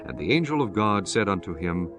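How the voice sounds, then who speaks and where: A man narrating dramatized scripture as an audiobook, in a solemn, measured storytelling manner. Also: low voice, big pitch swings, music in the background.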